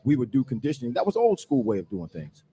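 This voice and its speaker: A man's voice: mid-range voice, huge pitch swings, quick pace, vocal fry, dark tone, slightly rough. A mature man with an American accent, talking casually about sports as a former player on a panel.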